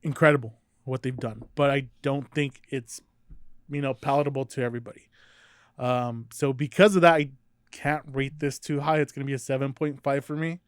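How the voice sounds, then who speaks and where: A man gives a careful, hesitant beer review, pausing with "um" as he weighs each point. His voice is mid-pitched and recorded close and dry. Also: fairly even intonation, clean tone, dry recording. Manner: thoughtful, hesitant, many "um"s. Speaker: podcast co-host. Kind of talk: casual chat, beer review.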